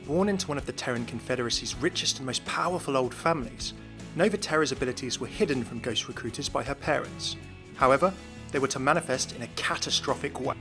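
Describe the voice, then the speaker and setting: A man's voice: mid-range voice, quick pace. Man narrating game lore over music. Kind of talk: explanatory video narration over game clips. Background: background music.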